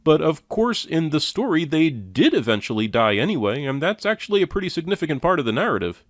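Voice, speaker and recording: A man's voice: fairly high pitch, very animated, quick pace, no echo, studio quality. A man giving critical commentary in a skeptical, sardonic and challenging manner. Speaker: commentator reviewing and rebutting a lecture.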